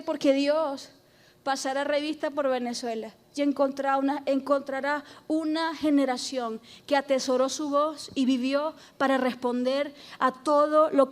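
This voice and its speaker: A woman pastor preaching a sermon, emphatic and loud, calling on the congregation to repeat after her. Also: sits high. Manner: shouting, emphatic preaching with call-and-response.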